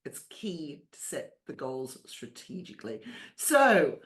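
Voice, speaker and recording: A woman's voice: deep voice, big pitch swings, slow pace. A woman hosting an interview over a video call, chatty and enthusiastic, laughing easily. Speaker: podcast host.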